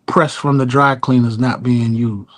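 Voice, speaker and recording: A man co-hosting a comedy podcast, chiming in with quick punchlines in casual chat. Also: mid-range voice, fairly even intonation. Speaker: podcast co-host.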